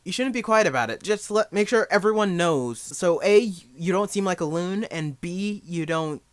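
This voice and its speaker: A man's voice: high-pitched, lively intonation, moderate pace, dry recording. A young man giving a casual spoken tutorial in a rambling, jokey, self-deprecating way. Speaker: amateur voice actor giving beginner advice.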